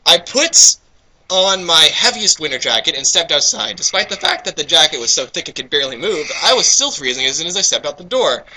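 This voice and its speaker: A young American man reads aloud in a high, very bright voice. His delivery is goofy and theatrical, slipping often from dramatic narration into casual banter. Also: very animated, a little hiss or hum, low-quality recording. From Texas, United States. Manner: goofy, theatrical, quick to break into asides. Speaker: reader in a comedic fanfiction reading.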